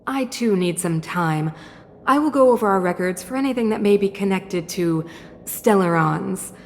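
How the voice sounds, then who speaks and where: A woman's voice: medium pitch, lively intonation, moderate pace, darkish tone, long reverb tail, close to an everyday voice. A woman voicing a dignified, guarded female ruler in a video game, speaking formally and with measure. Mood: gracious, formal. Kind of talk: English video game dub acting.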